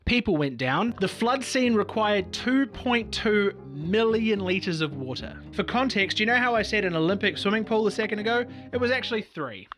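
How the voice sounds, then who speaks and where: A man's voice: sits high, lively intonation, normal speed, few pauses, a bit bright. A man giving a sarcastic, profane comedic history commentary as a video host.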